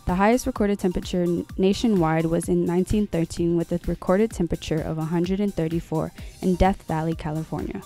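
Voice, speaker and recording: Mellow teenage girl reading announcements. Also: lowish voice, fairly even intonation, brisk, hardly any pauses, soft background music. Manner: reading a fact segment. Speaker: student announcer. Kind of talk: scripted announcement.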